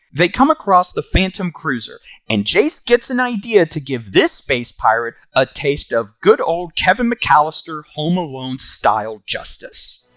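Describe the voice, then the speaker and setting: A man's voice: a little high, a bit bright, dry recording. A man hosting and narrating a scripted comic book review.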